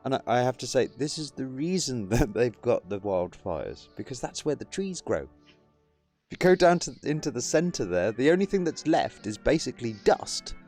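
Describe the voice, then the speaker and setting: A man's voice: mid-range voice, sing-song, moderate pace, a little mellow. Guy hosting a podcast, sarcastic, swears a lot, faint music behind. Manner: sardonic, swearing, reads chat comments aloud. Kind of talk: casual group chat.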